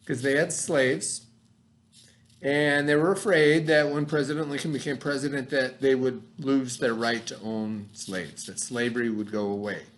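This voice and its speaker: A male teacher in a recorded lesson for his class, explaining words slowly with everyday examples and checking in often. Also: medium pitch, fairly even intonation. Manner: checks in often with "okay" and "right".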